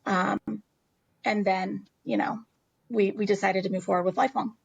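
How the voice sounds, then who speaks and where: A woman's voice: mid-range voice, fairly even intonation, moderate pace, vocal fry, husky, highs cut off. An American woman telling a personal story as an interview guest in a candid, emphatic, conversational way.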